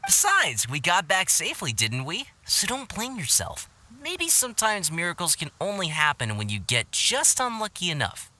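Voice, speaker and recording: Earnest, very animated young adventurer. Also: male voice, sits high, moderate pace, full character voice. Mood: reassuring. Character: earnest, excitable, good-natured young male adventurer. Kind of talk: video game cutscene storytelling.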